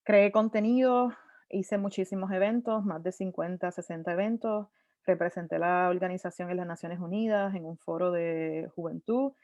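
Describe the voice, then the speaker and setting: Woman in her 30s, animated panelist, mixes in English words, dull and dry call audio. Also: on the low side, normal speed, dry recording. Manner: lively, mixes in English terms, frequent restarts. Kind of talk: conversational storytelling on a virtual panel. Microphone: video call.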